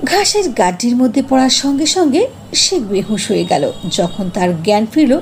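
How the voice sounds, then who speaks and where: A woman's voice: fairly high pitch, big pitch swings, a little fast, slight background noise. A woman narrating a scripted fairy tale as a storyteller.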